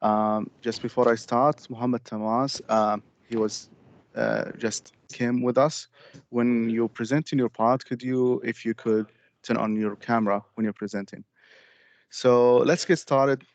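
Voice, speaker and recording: A man from Syria giving a steady, slightly formal group presentation over a video call. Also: mid-range voice, measured pace. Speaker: student presenter. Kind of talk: class presentation.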